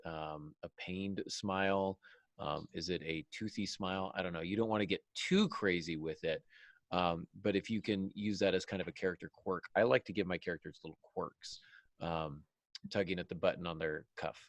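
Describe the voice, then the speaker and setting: A man's voice: sits low, normal speed, no echo. A man hosting a podcast panel in an informal, casual discussion style. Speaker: podcast host.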